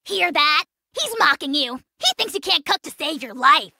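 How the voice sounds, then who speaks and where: Shrill, gravelly little boastful dragon lord. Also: female voice, lively intonation, normal speed, pushed and bright, no room sound, clean studio sound, exaggerated voice. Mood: teasing. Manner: pompous, theatrical, taunting. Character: tiny boastful dragon lord, petulant, self-important, menacing. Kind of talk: video game character voice acting.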